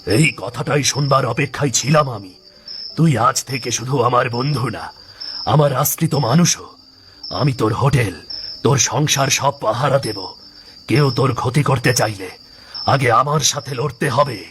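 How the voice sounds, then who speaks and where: A man's voice: medium pitch, moderate pace, fairly bright tone, slight background noise, natural voice. Man voicing a solemn, protective giant demon. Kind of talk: cartoon ghost story dialogue.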